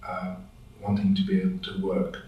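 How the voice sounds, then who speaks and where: A man from France answering interview questions as a language teacher, talking in a halting way as he searches for words. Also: low-pitched, monotone, dull audio. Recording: echoey room, voice from far off the mic.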